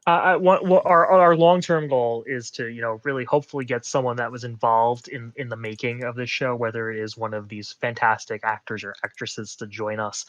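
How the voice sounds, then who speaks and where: A man's voice: mid-range voice, steady tone, brisk, few pauses, a bit bright, no room sound, muffled recording. A man co-hosting a podcast, chatting casually in a wordy, mock-formal way about TV. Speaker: podcast co-host. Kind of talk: casual TV recap podcast chat.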